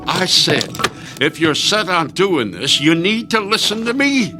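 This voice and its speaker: An actor playing a protective, grumbling old husband in a radio drama, fussing anxiously and stammering over repeated words. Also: male voice, high-pitched, big pitch swings, a little slow, music in the background. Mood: fussing, cautioning.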